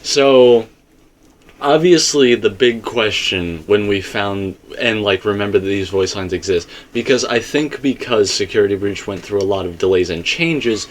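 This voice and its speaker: A man with a slightly low voice holds forth in a casual discussion. He speaks emphatically and rambles, slipping into asides and quoting himself as he works through his theory as a commentator. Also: moderate pace, a little hiss or hum.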